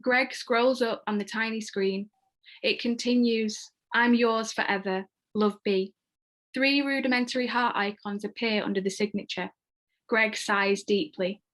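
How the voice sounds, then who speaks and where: A woman's voice: fairly high pitch, steady tone, measured pace, a bit bright. A woman giving scripted narration, reading out screenplay stage directions. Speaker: stage-direction reader and host.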